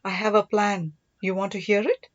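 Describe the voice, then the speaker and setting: A woman's voice: medium pitch, little pitch movement, moderate pace, lo-fi sound, lightly acted. A woman voicing a devoted, earnest young prince and older brother in an audiobook, reassuring and teasing.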